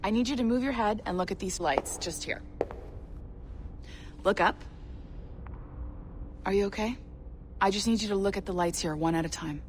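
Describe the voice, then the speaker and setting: A woman's voice: medium pitch, moderate pace, long pauses, slight background noise, natural voice. A woman playing an upbeat, friendly studio guide in a video game, talking in an encouraging way. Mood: attentive, reassuring. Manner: encouraging, slipping into French greetings. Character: upbeat, friendly female studio guide welcoming a new hire. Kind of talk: scripted video game acting.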